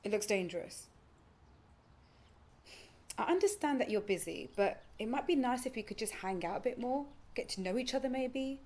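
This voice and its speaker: A woman's voice: on the high side, lively intonation, normal speed, pauses often, darkish tone. A woman playing a lonely, earnest would-be girlfriend in a naturalistic audio play, speaking tentatively and pleadingly. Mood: gently pleading. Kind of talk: naturalistic audio drama acting.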